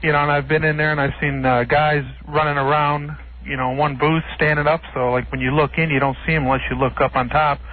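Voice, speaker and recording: A man's voice: on the high side, little pitch movement, a little fast. A man chatting casually as a guest on a radio show, telling anecdotes. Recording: tinny phone-like radio audio.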